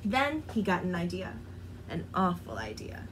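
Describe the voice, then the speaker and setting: A woman reading a children's rhyming book aloud, in an expressive, sing-song delivery. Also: lowish voice, moderate pace, a little hiss or hum, rough recording. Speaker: storyteller.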